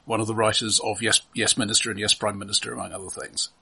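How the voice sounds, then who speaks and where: A man co-hosting a film podcast, thoughtful and halting, often repeating words as he builds his point, with a husky voice. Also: lowish voice, little pitch movement, sharp tone. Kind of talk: casual film discussion.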